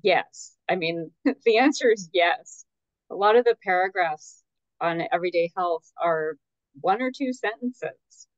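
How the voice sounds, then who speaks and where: A woman answering as an expert guest in a conversational, measured and tentative way, qualifying her points. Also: lowish voice, no echo, lo-fi sound.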